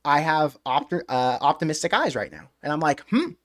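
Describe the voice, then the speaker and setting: A man hosting a live stream, chatting casually in a rambling, excitable way with mild swearing. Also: on the high side, fairly quick. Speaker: live-stream host of a fan channel.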